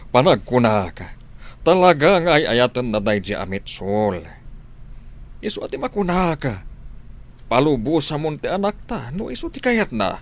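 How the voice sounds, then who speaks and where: A man's voice: medium pitch, big pitch swings, a little slow. A man playing a lenient, exasperated village father in an Ilocano comedy radio drama, reasoning expressively in a Filipino accent.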